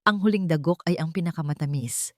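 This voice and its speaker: A woman's voice: low-pitched, a little fast, a little mellow, no echo, clean studio sound, lightly acted. A woman with a Filipino accent playing a composed professional man in an audio drama, speaking formally and with control. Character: composed professional man presenting legal papers to board.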